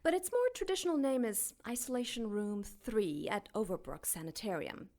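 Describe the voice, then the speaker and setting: A woman playing a seductive, menacing dark angel in an audio drama, with theatrical, purring, teasing delivery. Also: on the high side, lively intonation, normal speed. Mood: seductive, taunting.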